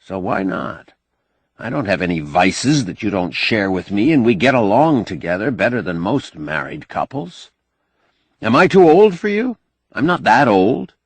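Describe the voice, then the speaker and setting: A male narrator plays an earnest bachelor in love, pleading his case in an audiobook. He is insistent, with wide swings of pitch and a husky edge. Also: sing-song, a little slow, dry recording, heavily acted. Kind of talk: audiobook character dialogue.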